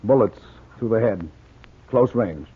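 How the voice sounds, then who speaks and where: An unsentimental American police doctor in a vintage radio mystery. His husky voice is terse yet lively in pitch. The sound is narrow, like old radio. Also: unhurried, full character voice. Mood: matter-of-fact. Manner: terse, matter-of-fact. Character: matter-of-fact, unsentimental police morgue doctor. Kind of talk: 1940s radio drama acting. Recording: tinny 1940s radio recording.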